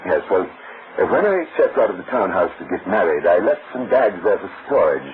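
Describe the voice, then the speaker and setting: A man's voice: sits low, big pitch swings, moderate pace, a little hiss or hum. Tinny old-radio pompous male villain. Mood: indignant. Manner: pompous, formal, condescending. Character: smooth, pompous wife-killing bigamist, unhinged and easily irritated. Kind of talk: old-time radio drama acting.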